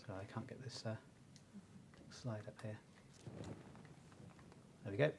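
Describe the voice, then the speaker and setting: A man's voice: fairly low pitch, fairly even intonation, measured pace, vocal fry, very noisy. A man presenting research findings to a panel in an informal, explanatory manner.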